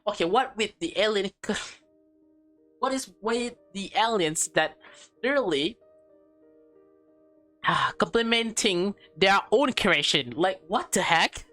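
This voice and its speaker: A woman's voice: medium pitch, animated, takes it slowly, long pauses, fairly bright tone, faint music behind. A woman hosting a gaming video, giving informal, playful commentary on a visual novel in an easily amused way. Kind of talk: casual commentary while reading a visual novel.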